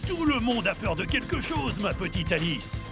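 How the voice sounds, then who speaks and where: A man's voice: high voice, sing-song, moderate pace. An actor playing a kindly old grandfather truck in a French cartoon, with an encouraging, theatrical delivery. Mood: encouraging, instructive. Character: kindly old grandfather truck, cheerful storyteller and mission leader. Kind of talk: French cartoon dub acting. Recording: loud music behind the voice.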